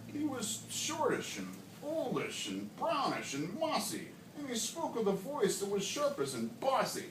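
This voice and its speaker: A man voicing an old, reclusive storybook creature who tells a wistful tale with drawn-out emphasis. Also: very high voice, wildly swooping pitch, pitched above the performer's own voice, full character voice. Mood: excited. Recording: echoey noisy classroom, distant mic.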